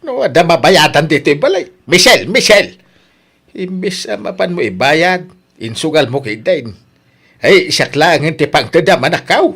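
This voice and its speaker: A man's voice: on the high side, very animated, normal speed, fairly bright tone, slightly rough, exaggerated voice. An actor playing a grumbling, bickering middle-aged father in an audio drama, with an Ilocano accent. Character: grumbling middle-aged father who loves his drink.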